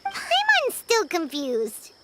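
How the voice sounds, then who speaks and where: Anime game fairy sidekick: tiny, childlike, extremely high and wildly animated, over loud music. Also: female voice, slow, heavy background noise, full character voice. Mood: confused. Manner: refers to self in third person, exclamatory. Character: small excitable floating fairy companion, childlike and perky. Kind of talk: anime video game voice acting.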